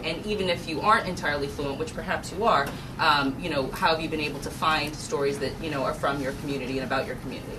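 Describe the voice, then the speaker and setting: A woman's voice: low-pitched, fast talker, hardly any pauses. A woman moderating a panel in a conversational style. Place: event room at a live panel discussion. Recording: noisy room, distant microphone.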